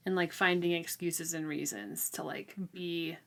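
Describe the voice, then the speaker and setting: A woman's voice: on the low side, fairly even intonation, a little slow, vocal fry. A woman co-hosting a book discussion, chatting casually and informally with plenty of hedging and filler likes. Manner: informal, hedging, often says like. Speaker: co-host of a book discussion show.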